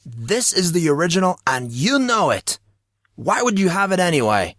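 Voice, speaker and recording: Man playing angry teenage boy, high-pitched, wounded, accusing, emphatic, dry audiobook sound. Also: very animated, normal speed, fairly bright tone, dry recording. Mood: furious, accusing. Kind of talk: audiobook character acting.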